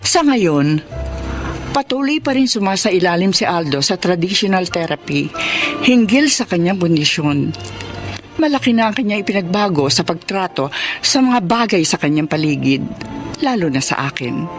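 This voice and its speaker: An actress playing a devoted, self-sacrificing wife in a radio drama, speaking with solemn, heartfelt delivery. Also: female voice, on the low side, big pitch swings, moderate pace, soft background music. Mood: reflective, solemn. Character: devoted, self-sacrificing wife telling her life story. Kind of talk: radio drama acting and narration.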